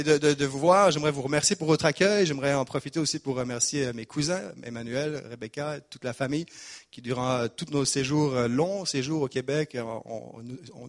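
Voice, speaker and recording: A man in his forties from Geneva, with a mixed Swiss French and Québécois accent, preaching a sermon in a chatty, self-deprecating way, hesitating often. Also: mid-range voice. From Geneva, Switzerland. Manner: chatty and self-deprecating, frequent "euh" and word repeats. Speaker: pastor.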